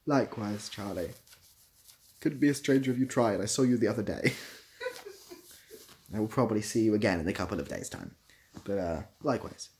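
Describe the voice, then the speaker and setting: A man's voice: on the low side, sing-song, moderate pace, pauses often, darkish tone, slight background noise. A young British man chatting casually on a livestream, rambling a little and dryly playful as he responds to viewers. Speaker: live streamer. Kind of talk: casual livestream chat, answering viewer comments.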